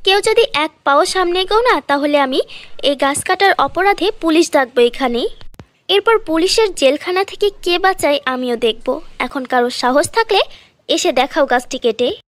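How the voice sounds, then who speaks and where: A brave young village orphan voiced by a woman speaks in a very high, earnest voice. She is loyal and emphatic, in a dry cartoon fairy-tale recording. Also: animated, moderate pace, fairly bright tone, no room sound. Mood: defiant.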